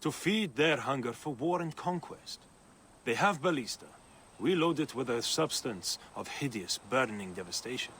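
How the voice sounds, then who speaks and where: An actor playing a defiant, earnest captive farmhand in a video game, speaking with urgency. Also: male voice, medium pitch, animated, normal speed, fairly bright tone, slight background noise, natural voice. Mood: grim. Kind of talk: dramatic video game acting.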